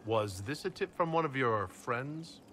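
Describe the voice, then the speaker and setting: An actor playing a calm, commanding leader in a video game, measured and reassuring. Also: male voice, medium pitch, slight background noise. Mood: businesslike. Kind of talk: video game dialogue acting.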